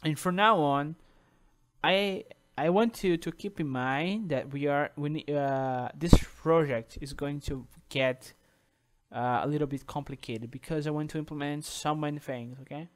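A man whose first language is Portuguese, talking through a coding tutorial over a screen recording, halting with restarts and checking in with "okay?". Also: fairly high pitch. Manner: halting, many restarts and "uh", tags sentences with "okay?". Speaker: programming tutor.

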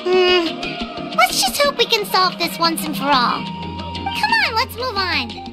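A woman voicing a tiny, chirpy, bossy fairy girl in a video game, with a childlike squeaky voice. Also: big pitch swings, slow, full character voice. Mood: thoughtful, curious. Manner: perky, refers to herself by name. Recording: loud game music behind.

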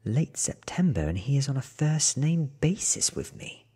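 A man in his thirties reading a book aloud in a soft, half-whispered ASMR style, with hushed, deliberate phrasing close to the mic. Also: mid-range voice, sing-song, breathy edge. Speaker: audiobook reader.

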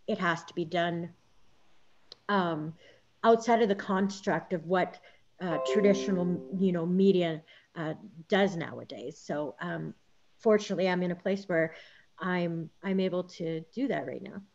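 A woman journalist on a panel over a video call, reflective and halting, with many fillers. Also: fairly low pitch, darkish tone, muffled recording. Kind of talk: panel discussion.

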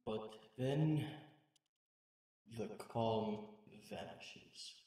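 A man playing a wise, solemn old mutant rat master, narrating gravely and poetically in a comic dub. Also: on the low side, animated, very slow, warm tone, poor audio. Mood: calm.

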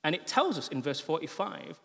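A man's voice: on the high side, fairly quick, creaky. A man in his thirties, a pastor, preaching a sermon in a conversational, humorous way on stage in a large church hall, through a headset mic. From England. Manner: conversational and humorous, with animated gestures. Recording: long hall reverb.

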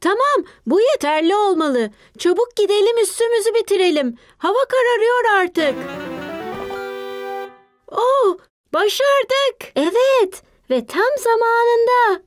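A woman voicing an eager, excitable young child playing adventure games in a cartoon dub. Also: very high voice, slow, dry recording, soft background music, heavily acted.

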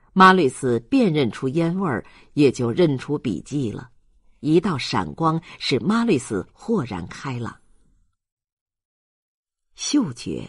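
A male audiobook narrator with a husky voice. He reads literary prose slowly and expressively, his pitch moving in a lively way. The sound is close and dry. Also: no room sound.

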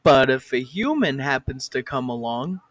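A man's voice: mid-range voice, big pitch swings, moderate pace, dry recording, clean studio sound. A man narrating a comic fan parody of an educational cartoon, breaking off to swear and argue with a director.

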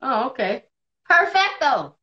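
Woman co-host, 30s, bright voice, a bit high, lively and laughing, home video call. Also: unhurried, lo-fi sound. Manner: animated, laughs often, bright delivery. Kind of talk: casual live-stream chat. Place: indoors at home. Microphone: phone or laptop camera on video call.

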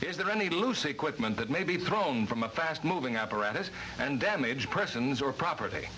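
Bright, animated man narrating vintage training film. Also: mid-range voice, fairly quick. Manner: formal, precise, instructive. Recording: noisy worn vintage film soundtrack.

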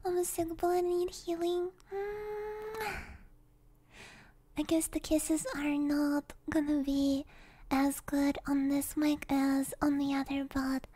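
A soft-spoken, playful woman chatting as an ASMR streamer, close on a stereo microphone and sometimes slipping into whispers. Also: high-pitched, little pitch movement, takes it slowly. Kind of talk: ASMR livestream chat, drifting into whispers. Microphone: close binaural stereo microphone.

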